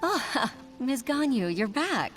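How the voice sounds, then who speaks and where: Courteous, slow-spoken game character. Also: female voice, sits high, very animated, exaggerated voice. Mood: grateful, relieved. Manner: polite, deferential. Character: grateful, courteous female client. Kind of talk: video game voice acting. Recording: music behind the voice.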